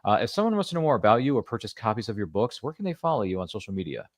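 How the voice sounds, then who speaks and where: A man's voice: on the low side, very animated, rapid-fire, no room sound, clean studio sound. A man hosting a podcast, wrapping up the episode in a casual, upbeat and rambling way. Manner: upbeat, rambling, self-deprecating. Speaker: podcast host. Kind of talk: casual show wrap-up and plugs.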